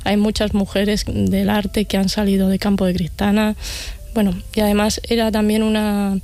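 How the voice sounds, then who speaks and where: A woman's voice: medium pitch, little pitch movement, moderate pace, a little mellow. A woman from Ciudad Real, Spain, with a Spanish accent, explaining a festival as its organizer, with many fillers and tag questions. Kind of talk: conversational interview.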